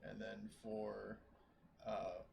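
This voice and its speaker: A man's voice: lowish voice, monotone, very slow, a little mellow. A man in a casual post-game chat, explaining things in a hesitant, rambling way. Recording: noisy room, clear background hiss.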